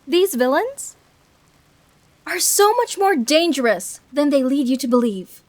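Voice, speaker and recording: A woman playing a bitter, protective fallen hero turned villain in an emotional confessional audio roleplay, speaking deliberately with dramatic pauses. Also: high-pitched, lively intonation. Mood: pained, impassioned. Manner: deliberate, dramatic, pausing between short phrases.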